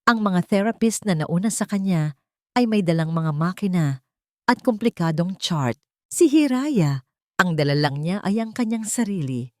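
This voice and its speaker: Low-pitched woman narrating a dramatic story. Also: lively intonation, moderate pace, mellow, no echo, studio quality.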